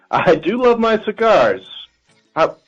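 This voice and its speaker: A man's voice: sits high, sing-song, slow, slightly rough, soft background music. A middle-aged American man talking casually as he answers questions on a phone call to a radio show. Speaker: called contestant, a father.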